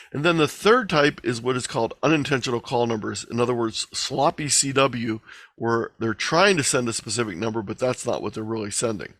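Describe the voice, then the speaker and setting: A man presents an instructional online talk in a medium-pitched voice. He explains things plainly, one step after another, as if guiding learners through slides. The video-call sound is dry, with very little room around the voice. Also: a bit bright, no echo. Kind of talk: explanatory slide lecture, lightly extemporized. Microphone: video call microphone.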